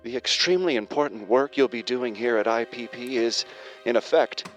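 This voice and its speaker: Actor as corporate video presenter: polished, salesy, chipper male voice of average pitch, scripted promo delivery. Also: moderate pace, a bit bright, close to an everyday voice. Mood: slick, cheerful. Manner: polished, salesy, overly cheerful. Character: upbeat corporate training-video presenter that talks back. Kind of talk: scripted corporate promo narration in audio drama. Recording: music underneath.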